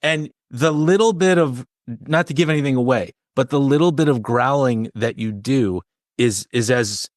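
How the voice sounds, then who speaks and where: A man's voice: medium pitch, normal speed, no room sound, clean studio sound. A man interviewing a band, chatting casually and enthusiastically with plenty of compliments.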